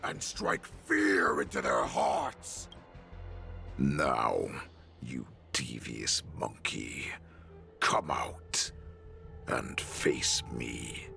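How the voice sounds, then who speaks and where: A man's voice: extremely low pitch, animated, sharp tone, exaggerated voice. A man playing a bloodthirsty, dramatic wolf warlord in a video game, growling out grandiose, menacing speeches. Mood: commanding menace. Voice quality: gravelly growl. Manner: grandiose, menacing, slow declaiming. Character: bloodthirsty dramatic male wolf warlord rallying his pack. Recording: music under the voice.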